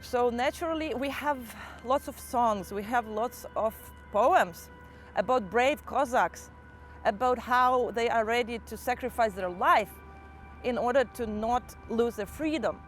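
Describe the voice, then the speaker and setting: Brief: young Ukrainian-accented woman, earnest and measured, slightly high voice, soft music underneath. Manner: calm, earnest and measured, with small restarts. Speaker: motivational teacher. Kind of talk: personal first-person reflection.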